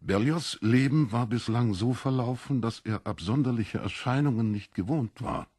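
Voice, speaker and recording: Ein Mann liest als Hörbucherzähler einen literarischen Text, mit rauer Stimme und bedachter, dramatischer Phrasierung. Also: male voice, mid-range voice, normal speed, a little mellow, breathy edge, no echo, studio quality. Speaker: audiobook narrator. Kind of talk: scripted literary narration.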